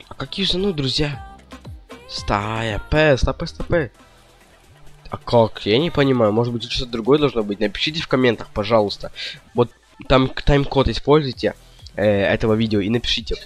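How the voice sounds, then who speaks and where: Young male gaming host, lowish voice, casual and indecisive, faint game music behind. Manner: slangy, indecisive rambling to viewers. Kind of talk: casual gameplay commentary.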